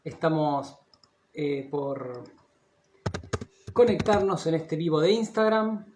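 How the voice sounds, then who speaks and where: An Argentine man hosting a casual live-stream interview, speaking haltingly and circling back over his phrases. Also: fairly high pitch, expressive, darkish tone, slight background noise. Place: live video call on social media. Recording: dull, lo-fi internet call audio.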